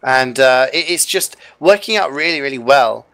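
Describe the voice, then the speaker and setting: A male esports caster talks the viewers through a match and the upcoming events in a chatty, upbeat way. He fills gaps with little fillers. His voice sits at a middle pitch and has gone a bit hoarse. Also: animated, normal speed, sharp tone. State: losing his voice. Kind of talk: live esports commentary and sign-off.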